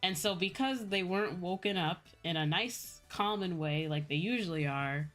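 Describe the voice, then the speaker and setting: A woman with a Midwestern American accent telling stories casually as a livestream host, in an animated, chatty manner. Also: lowish voice, moderate pace. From Midwestern United States. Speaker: streamer and VTuber host. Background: background music.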